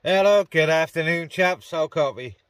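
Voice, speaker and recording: A man's voice: fairly high pitch, moderate pace, noisy background. A man vlogging casually and talking on the radio from inside a parked car, addressing viewers informally. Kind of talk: casual vlog chat and radio talk.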